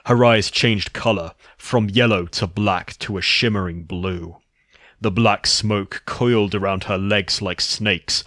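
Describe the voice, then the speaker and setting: A man's voice: lowish voice, a bit bright, no room sound, clean studio sound. A man giving a scripted narration of a horror story.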